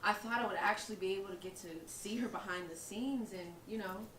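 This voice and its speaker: A woman's voice: mid-range voice, a little fast, fairly bright tone, lightly acted. A young woman playing a sarcastic, dramatic aspiring designer in a comedic play, with a theatrical, teasing delivery. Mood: wistful. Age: young adult. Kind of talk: comedic stage acting. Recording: echoey room, distant mic, background hiss.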